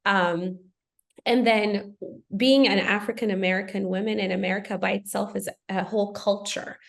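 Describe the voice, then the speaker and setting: A woman's voice: medium pitch, steady tone, normal speed, creaky. A woman from Ethiopia, a podcast guest, speaking in a thoughtful, earnest way with frequent fillers. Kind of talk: podcast conversation.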